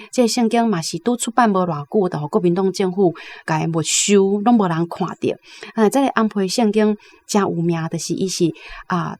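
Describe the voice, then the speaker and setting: A woman's voice: lowish voice, a little mellow. A woman with a Taiwanese accent giving explanatory literary commentary on radio, conversational, often ending phrases with particles.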